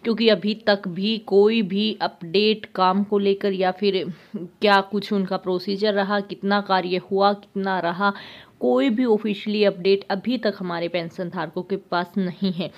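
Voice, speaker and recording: A woman hosts a news update at a medium pitch. She talks conversationally and pauses to restart her sentences. The recording sounds a little dull and lo-fi. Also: steady tone, vocal fry. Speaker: news channel host.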